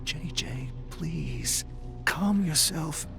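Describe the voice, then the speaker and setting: A man voicing a composed, pensive woman survivor in a horror story, measured and hesitant. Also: fairly high pitch, creaky, music in the background, pitched above the performer's own voice, full character voice. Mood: soothing, urgent.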